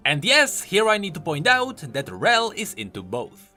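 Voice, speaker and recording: A man's voice: high voice, huge pitch swings, normal speed, pushed and bright, soft background music. A man presenting explanatory video commentary on game lore, informal and emphatic in his delivery. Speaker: YouTube game lore host.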